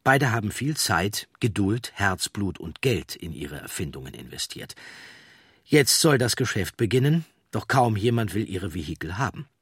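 A man narrates a documentary script in a very husky, rather low voice. His phrasing is measured and deliberate, recorded dry and close. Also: sing-song, a bit bright, no echo.

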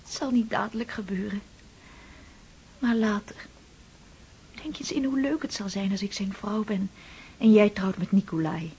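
An actress playing an emotional young woman in a radio play, pleading and dropping into soft whispers. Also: mid-range voice, moderate pace, pauses often, a little hiss or hum, lightly acted. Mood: wistful.

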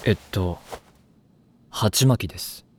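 A man's voice: lowish voice, slow pace, background noise. An actor playing an aloof, sarcastic teenage boy in an anime audio drama, with a dry, snide manner. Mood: reluctant. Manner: snide, dry, polite on the surface.

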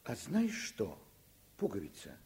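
An actor playing a kindly, gently ironic provincial doctor and father in a Soviet radio drama. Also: fairly low pitch, huge pitch swings, measured pace, full character voice. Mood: affectionate. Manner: good-natured, gently ironic.

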